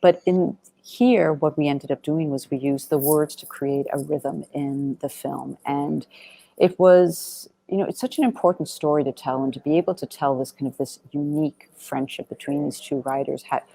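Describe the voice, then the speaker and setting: A woman answering interview questions as a film director, conversational and earnest, often halting and circling back to rephrase. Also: low-pitched, fairly even intonation, creaky, warm tone, no room sound, poor audio.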